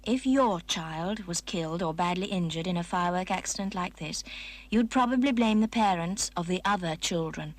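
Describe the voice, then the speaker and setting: A woman's voice: lowish voice, moderate pace, a little hiss or hum, natural voice. A British woman delivering a stern, scripted voice-over warning for a public safety film. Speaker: announcer.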